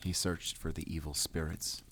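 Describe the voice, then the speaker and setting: Grim werewolf hunter, deep voice, measured and brooding, audio drama, few words, determined. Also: male voice, little pitch movement, a bit bright, exaggerated voice. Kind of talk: cinematic audio drama acting.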